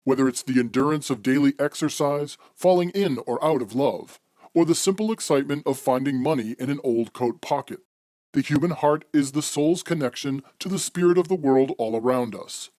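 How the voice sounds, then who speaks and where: A man's voice: mid-range voice, moderate pace, a little mellow, dry recording. A man hosting an audio theater program, delivering a scripted, formal and theatrical introduction in the manner of old-time radio.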